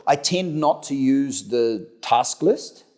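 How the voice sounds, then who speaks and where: A man's voice: medium pitch, animated, takes it slowly, darkish tone. A middle-aged man with a New Zealand accent, answering interview questions conversationally, often repeating words and restarting as he goes. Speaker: interview guest, company director.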